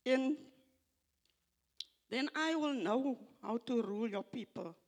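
An older woman with an Afrikaans accent, English not her first language, slowly reading scripture aloud and reflecting on it at a church gathering, halting and repeating phrases. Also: fairly high pitch. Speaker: church member giving a tribute.

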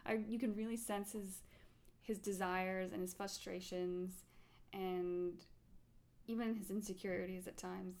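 A woman's voice: mid-range voice, fairly even intonation, measured pace, darkish tone. A woman co-hosting a casual film discussion, explaining her views in an easygoing way. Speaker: podcast co-host.

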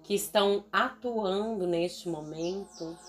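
A Brazilian woman speaks as a meditation guide with faint music behind her. Her delivery is very unhurried and soothing, with long pauses between short, deliberate phrases. Her voice sits at an ordinary female pitch. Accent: Brazilian Portuguese.

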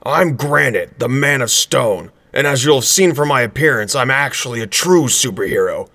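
A man's voice: mid-range voice, lively intonation, moderate pace, creaky, sharp tone, full character voice. A man playing a big, proud, self-assured superhero made of rock in a comedic audio drama.

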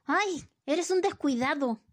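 A woman's voice: sits high, expressive, unhurried. A woman acting a flippant, bossy wife who nags her husband, in an amateur radio play. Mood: chiding. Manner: flippant, nagging. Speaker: student radio drama actor.

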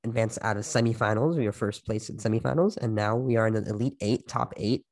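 A man's voice: on the low side, steady tone, fast, a little mellow. A young man co-hosting a podcast over a video call, chatting casually, teasing his friend and laughing often. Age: young adult. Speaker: podcast co-host. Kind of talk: casual chat.

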